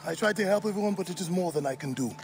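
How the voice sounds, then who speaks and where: An actor playing a warm, teasing village friend of a warrior in a video game, speaking expressively and familiarly. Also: male voice, sits high, expressive, racing, soft background music. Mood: earnest, worried. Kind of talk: video game cutscene acting.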